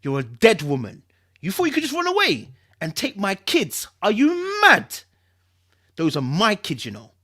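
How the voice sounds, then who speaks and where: A reader voicing a threatening ex-husband on the phone with a deep, husky, hissing voice that breaks into shouting rage. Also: sing-song, a little fast. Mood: enraged. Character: menacing abusive ex-husband on the phone. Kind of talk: dramatic story reading.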